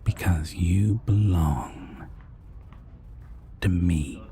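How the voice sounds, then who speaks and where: A man playing a sinister, possessive vampire villain in a roleplay audio drama, taunting and deliberate with a breathy, gravelly half-whisper. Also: deep voice, mellow. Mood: possessive, mocking. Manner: slow, deliberate, taunting, with long pauses.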